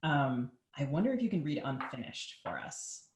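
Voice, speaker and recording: A woman co-hosting a podcast, talking casually and informally in a halting, conversational way. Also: rumbling low, sing-song. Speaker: podcast co-host. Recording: roomy, echoing sound.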